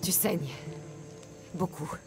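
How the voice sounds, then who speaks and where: A woman's voice: fairly low pitch, takes it slowly, vocal fry, faint music behind. An actress playing a tough, wry female mercenary hunter in a French game dub, dry and blunt. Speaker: video game voice actor.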